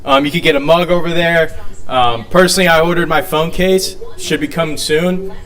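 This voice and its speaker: A man's voice: fairly high pitch, steady tone, moderate pace, fairly bright tone. A young man co-hosting a casual live show, talking loosely with many fillers. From Albanian family. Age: young adult. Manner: loose, rambling, lots of ums. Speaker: co-host. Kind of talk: casual chat.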